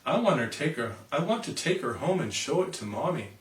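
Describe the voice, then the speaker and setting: A man voicing an earnest, excitable little girl in an animated children's storybook reading. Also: fairly high pitch, fairly quick. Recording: roomy, distant microphone.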